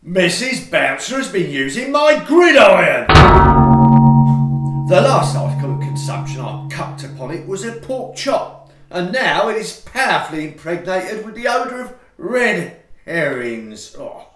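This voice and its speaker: A grumbling, easily affronted printer in a Victorian farce, performed by a man. His voice is high and lively, turning grandiloquent and melodramatic as in stage comedy. The audio-play recording is echoey and a little off mic. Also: unhurried, distant mic, loud music behind the voice. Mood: sleepy, grumbling. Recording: roomy echo, away from the mic.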